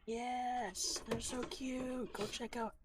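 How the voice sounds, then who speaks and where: A woman's voice: on the high side, steady tone, takes it slowly, noisy background. A woman chatting informally as a livestream co-host, tending to repeat her phrases. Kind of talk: casual livestream chat.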